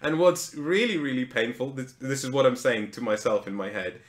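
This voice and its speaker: A man's voice: medium pitch, moderate pace, echoey room. A man from Lithuania, a native Lithuanian speaker, co-hosting a casual livestream chat and telling rambling, self-deprecating stories. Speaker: co-host.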